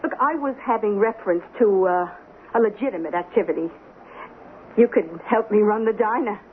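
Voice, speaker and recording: A woman's voice: mid-range voice, normal speed, narrow, tinny audio, close to an everyday voice. An actress playing a prim, stubborn diner woman in a 1970s radio drama, haughty and indignant, with pompously formal diction. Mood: haughty, insistent. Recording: thin, noisy vintage radio recording.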